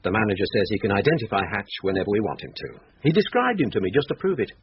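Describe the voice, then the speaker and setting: Methodical English detective inspector, lowish pitch, lively inflection, dry courtesy. Radio drama. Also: male voice. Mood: measured, confident. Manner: measured, dry, formal. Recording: dull radio drama recording with faint noise.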